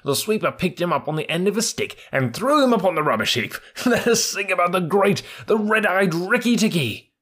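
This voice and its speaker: Man doing a boastful little songbird, pitched way up, husky, chirpy and fawning. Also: a little fast. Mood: excited, boastful. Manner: sing-song, excitable, flattering. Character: silly male tailorbird, chirpy and boastful.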